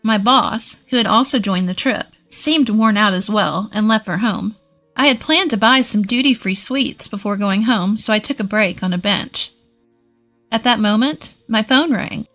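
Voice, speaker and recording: A woman's voice: medium pitch, moderate pace, fairly bright tone, soft background music. A woman reading a scripted first-person family drama as its narrator. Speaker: story narrator.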